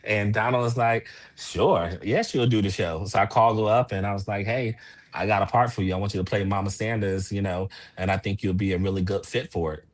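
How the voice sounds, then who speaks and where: A man's voice: lowish voice, fairly even intonation, a little fast. A man talking casually as a playwright and producer being interviewed.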